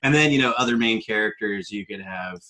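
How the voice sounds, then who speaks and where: A man's voice: lowish voice, fairly quick, bright, forceful tone, low-quality recording. A man chatting casually as a podcast guest, speaking in a tentative, loosely hedged way. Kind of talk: casual chat.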